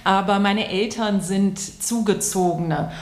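A woman's voice: mid-range voice, slow. A middle-aged woman pastor from Bavaria answers interview questions in fluent standard German, explaining at length. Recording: echoey room.

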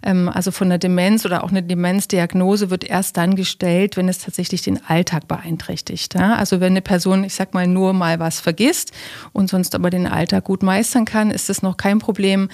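A woman's voice: lowish voice, level tone, moderate pace, a little mellow. A woman speaking as an expert guest in an interview, explaining things through everyday examples.

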